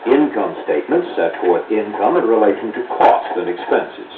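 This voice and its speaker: A man's voice: lowish voice, animated, far from the mic. American male narrator for a 1970s classroom film, didactic and precise, heard through a narrow, roomy old soundtrack. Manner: measured, didactic, clearly enunciated. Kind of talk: scripted instructional narration. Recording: muffled, noisy 1970s 16mm film soundtrack.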